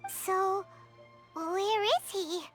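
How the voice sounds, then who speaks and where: A woman voicing a small excitable fairy companion girl in a video game, exclaiming with eager wonder. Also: very high-pitched, very slow, full character voice. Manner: exclaiming, wide-eyed and eager. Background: loud game music.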